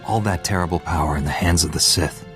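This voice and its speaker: A man's voice: deep voice, fast talker, a bit bright. An actor voicing a determined male Jedi knight in a video game, speaking with firm resolve. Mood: resolute. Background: music.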